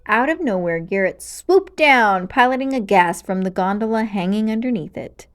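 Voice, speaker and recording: A woman narrating a children's storybook aloud in an expressive, dramatic and clearly paced way. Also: medium pitch, moderate pace, soft background music.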